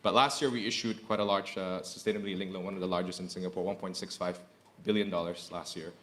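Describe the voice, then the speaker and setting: A man's voice: low voice, steady tone, quick pace, fairly bright tone. A man, speaking earnestly as a corporate executive on a panel and repeating phrases for emphasis. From Indonesia. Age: 30s. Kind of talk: panel discussion.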